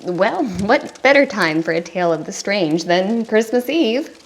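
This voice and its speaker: Unhurried, bold villager. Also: female voice, medium pitch, animated. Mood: curious, bold. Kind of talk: audio drama acting.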